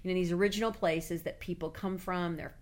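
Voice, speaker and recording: A woman's voice: on the low side, steady tone, fairly quick. A woman from Tennessee, chatting about politics in a rambling, hedging way. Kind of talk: casual political chat.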